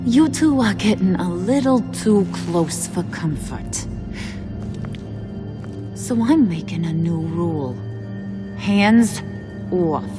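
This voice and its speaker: A woman's voice: fairly low pitch, expressive, takes it slowly, pauses often. An actress playing a bossy, possessive young female villain in a video game, taunting with playful menace. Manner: sing-song taunting, threatening. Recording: music under the voice.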